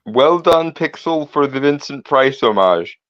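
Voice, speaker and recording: A man's voice: medium pitch, lively intonation, unhurried, fairly bright tone, dry recording, muffled recording. A man chatting casually as a game player, often restarting and repeating the openings of his sentences. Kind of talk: casual chat.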